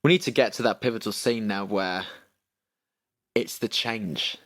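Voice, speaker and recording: A man's voice: fairly low pitch, unhurried, a bit bright. A man co-hosting a podcast from home over an internet call, describing film moments hesitantly with fillers. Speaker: podcast co-host. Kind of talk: casual chat about a film.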